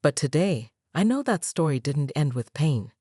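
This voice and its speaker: A woman voicing a soft-spoken young sister. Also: sits low, sing-song, moderate pace, breathy edge, dry recording, studio quality, exaggerated voice. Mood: earnest.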